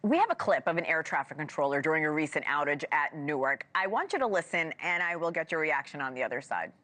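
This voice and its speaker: A woman's voice: sits low, animated, quick pace, fairly bright tone. A woman anchoring a broadcast news interview, putting polished, direct questions to a guest.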